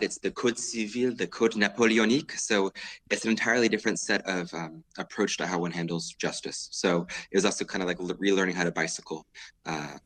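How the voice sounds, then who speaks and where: Podcast guest: a low-pitched man in his thirties from California, articulate and relaxed in how he explains. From San Diego, California, US. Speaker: guest attorney. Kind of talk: podcast interview.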